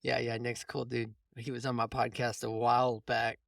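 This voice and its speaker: A man's voice: medium pitch, completely flat delivery, moderate pace, gravelly, a bit bright, dry recording, clean studio sound. A man hosting a casual coaching conversation, explaining things informally and often rephrasing as he goes. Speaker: podcast host and mindset coach.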